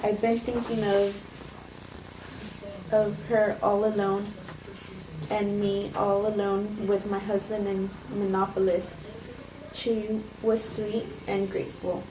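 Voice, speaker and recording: A woman reads as a self-justifying woman who calmly confesses to poisoning. Her delivery is halting, like a cold script read. She sounds distant in an echoey room, with clear noise behind her. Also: medium pitch, natural voice. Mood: matter-of-fact. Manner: halting, stumbling amateur reading. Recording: echoey room, distant mic, noisy background.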